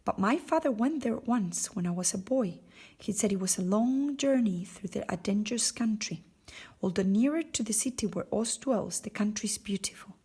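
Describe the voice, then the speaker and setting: A kindly storybook tin woodman, read by a woman, husky and soft-edged. He speaks earnestly and steadily, like a protector. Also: mid-range voice, moderate pace. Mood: reassuring. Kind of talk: storybook character voice.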